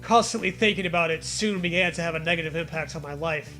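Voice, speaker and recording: A man's voice: fairly high pitch, fast, bright, forceful tone, faint music behind. A man reading a story aloud in a comedic way, with mocking, profane casual riffing.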